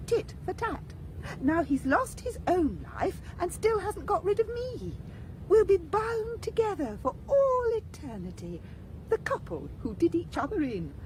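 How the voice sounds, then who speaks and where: An actress in a radio drama playing a haughty, nagging ghost of a murdered wife, with a shrill theatrical delivery. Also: female voice, background noise, heavily acted. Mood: smug, confiding. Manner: shrill, theatrical, matter-of-fact.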